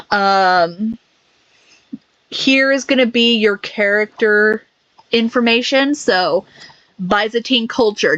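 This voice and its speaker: Woman, gaming commentary, slow unhurried delivery, reads menus aloud, slightly dull recording. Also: medium pitch, slight background noise. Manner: reads game menus aloud, halting with fillers and restarts. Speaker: gaming YouTuber. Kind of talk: casual gameplay commentary.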